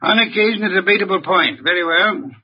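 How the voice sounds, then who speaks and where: An actor playing a brusque, imperious genius detective, curt and pedantic, in old-time radio drama style. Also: male voice, high-pitched, fairly quick, noisy background, lightly acted. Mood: grudging, dry. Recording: dull, muffled vintage radio recording.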